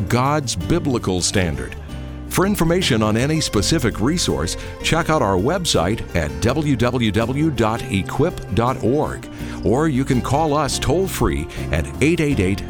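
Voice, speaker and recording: A man reading a scripted promotional announcement in a smooth, polished delivery. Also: medium pitch. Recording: announcer voice over music.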